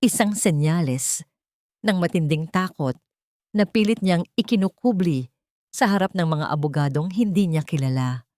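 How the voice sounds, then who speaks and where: A woman telling a dramatic love story in scripted narration. Her voice sits low and her reading is measured and steady. The recording is close and dry. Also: dry recording.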